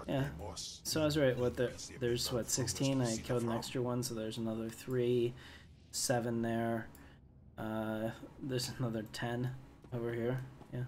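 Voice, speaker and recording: A man's voice: mid-range voice, level tone, measured pace, a little mellow, slight background noise. A man giving casual, rambling let's play commentary as he hosts a gaming video.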